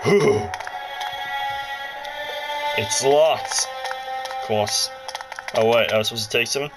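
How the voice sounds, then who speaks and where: A man giving casual gameplay commentary, talking to himself in a playful, mock-excited way. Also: mid-range voice, takes it slowly. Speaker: let's play commentator. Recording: loud game music over the voice. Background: handheld game console's loud music and sounds.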